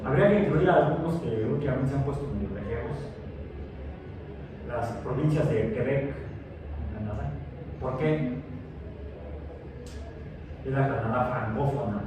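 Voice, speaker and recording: A man's voice: mid-range voice, unhurried, long pauses. Rambling male teacher in an echoey classroom. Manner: digressive, many fillers and restarts. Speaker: science teacher. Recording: echoey room, distant mic, noisy.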